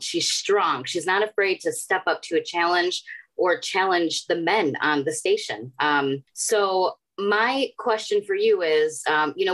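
A woman's voice: fairly low pitch, a bit bright, low-quality recording. A woman in her forties hosting a podcast, asking long questions full of fillers. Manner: asks at length with many fillers. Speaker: podcast host. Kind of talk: interview questions.

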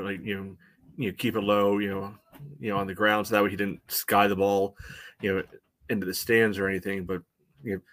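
Male podcast co-host, low flat delivery, halting casual sports analysis full of "you know". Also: no room sound.

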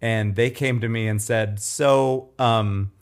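A man's voice: lowish voice, fairly even intonation, takes it slowly. Nasal, throaty man, casual podcast chat. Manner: self-deprecating, rambling storyteller. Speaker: podcast co-host.

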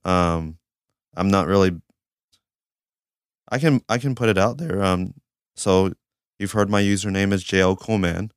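A podcast guest, a man from Georgia, whose low voice is hoarse but still smooth and resonant. He talks hesitantly, filling gaps with ums. The sound is dry and close. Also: unhurried, long pauses, dry recording. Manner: hesitant, filler-heavy. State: hoarse, losing his voice. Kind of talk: casual chat. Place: studio.

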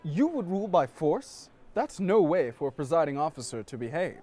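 A man's voice: fairly high pitch, big pitch swings, normal speed, mellow. A man playing a haughty, touchy young nobleman with formal, pompous diction in a comedic fantasy audio drama.

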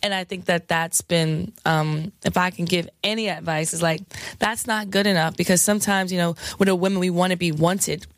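A woman DJ with a scratchy, hoarse voice, chatting frankly in an interview with lots of fillers and laughs. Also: lowish voice, steady tone, normal speed, no echo. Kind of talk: candid casual interview talk.

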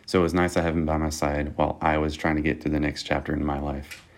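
A man from Texas reading a calm, grateful listener message to a podcast. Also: very deep voice, fast.

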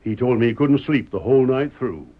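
A man's voice: mid-range voice, fairly quick, close to an everyday voice. An actor playing a stubborn middle-aged frontier father in a radio western, with a wry, folksy Western American drawl. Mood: wry, defiant. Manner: folksy, sly, drawling needling. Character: stubborn, wry Old West father, sheriff candidate. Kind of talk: old-time radio western acting. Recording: dull, muffled old radio recording.

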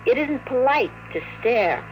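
A woman's voice: a little high, lively intonation, a little slow. A woman playing a cool, curt young secretary in an old-time radio drama. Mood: icy. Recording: slightly noisy old radio recording.

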